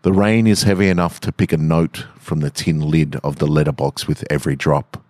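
A man hosting a podcast and reading literary short stories in a relaxed style. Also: rumbling low, a little fast, no echo, clean studio sound. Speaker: podcast host, author and reader. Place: garage, indoors.

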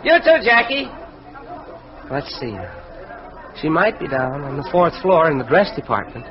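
An American actor playing a genial, excitable and dreamy department store manager in an old-time radio drama, earnest and expressive. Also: male voice, fairly high pitch, a little slow, crowd noise. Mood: friendly. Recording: muffled, noisy old radio recording.

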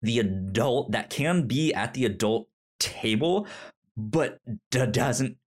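A man co-hosting a casual film discussion, speaking haltingly and repeating words as he searches for his phrasing. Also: medium pitch, animated. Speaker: podcast co-host.

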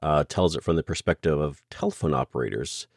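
A man's voice: extremely low pitch, fairly quick, no echo, studio quality. A man hosting a casual interview, putting questions to a guest in a conversational way. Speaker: podcast host, interviewer.

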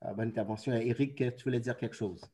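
A man moderating a radio panel discussion, relaxed with hesitant phrasing. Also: mid-range voice, quick pace, slight background noise.

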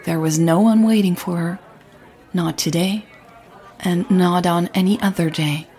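A woman narrating scripted audio fiction as a podcast storyteller, in a measured, dramatic way. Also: lowish voice, fairly even intonation, mellow.

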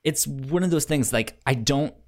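A man co-hosting a casual review podcast, halting and stumbling over the starts of words. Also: mid-range voice, a little mellow. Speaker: podcast co-host reviewing anime.

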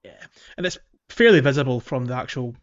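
A man's voice: medium pitch, expressive, brisk, dull audio. A man hosting a casual gaming podcast, chatting playfully through a game walkthrough. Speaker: podcast host.

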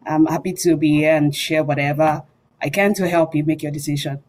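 A woman's voice: deep voice, steady tone, brisk, darkish tone. A woman, around her thirties, introducing herself and sharing experience informally as a student panelist.

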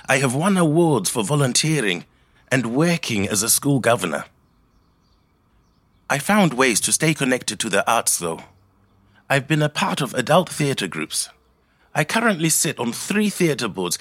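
Expressive, reflective middle-aged Zimbabwean man. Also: mid-range voice. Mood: thoughtful. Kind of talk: scripted autobiographical monologue, storytelling.

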